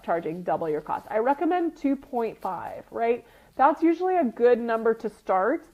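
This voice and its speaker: A woman with a mid-pitched, slightly sniffly voice speaks as a coach in an informal live teaching session. She explains step by step in a conversational way, often tagging on quick check-in questions. The recording is somewhat dull and lo-fi. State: sniffly with a runny nose. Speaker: retreat business coach and group host. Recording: dull lo-fi audio.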